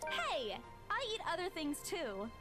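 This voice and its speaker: A proud, independent young game heroine, with a high, very animated voice. She is defensive and stubborn, and music plays clearly under her lines. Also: a little slow, full character voice. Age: young adult. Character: stubborn, proud young woman short on money. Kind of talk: visual novel voice acting. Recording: music behind the voice.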